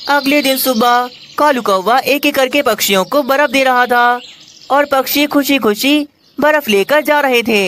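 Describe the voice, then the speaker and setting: A woman's voice: high-pitched, noisy background. A woman reading scripted narration for a children's cartoon story.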